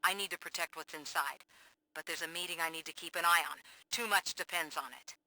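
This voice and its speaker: Investigative reporter, game cutscene, piercingly bright voice, distrustful, pointed delivery, dry sound. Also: female voice, on the low side, fairly quick, dry recording, low-quality recording. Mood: wary, insistent. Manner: pointed, challenging. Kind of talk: video game cutscene acting.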